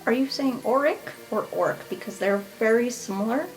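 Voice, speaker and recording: A woman's voice: fairly high pitch, moderate pace, faint music behind, poor audio. A woman playing a tabletop game, improvising roleplay as a player.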